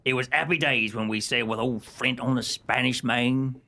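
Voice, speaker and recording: Old sea rogue: gravelly, forceful, middle-pitched, hearty and salty, for radio pirate comedy. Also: male voice, fairly even intonation, normal speed. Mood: wistful, jovial. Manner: salty, colloquial pirate talk. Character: grizzled old pirate sailor, hearty and nostalgic.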